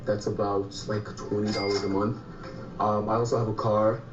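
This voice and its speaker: A man's voice: fairly low pitch, level tone, moderate pace, music in the background. A young man, a student, talking in a friendly way through his monthly costs in a short video. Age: young adult. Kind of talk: casual scripted video talk. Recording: roomy, distant, muffled video audio.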